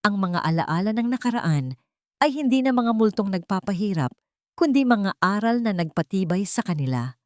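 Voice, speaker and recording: A woman narrating a scripted romance story in a deliberate, sentimental way with dramatic pauses. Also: medium pitch, animated, darkish tone, no room sound, studio quality.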